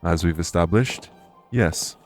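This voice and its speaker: A man playing a courteous former king turned fae official in an audio roleplay, speaking formally and with measured reassurance. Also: low-pitched, darkish tone, soft background music. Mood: reserved, matter-of-fact. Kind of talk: audio roleplay spoken to the listener.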